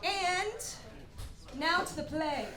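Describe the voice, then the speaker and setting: Exuberant, very high-pitched woman hosting live. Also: extremely slow, sharp tone. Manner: playful, exuberant, theatrical. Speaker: live show host. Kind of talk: hyping up a live audience. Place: tavern stage before a live audience. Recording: roomy live venue with music, crowd.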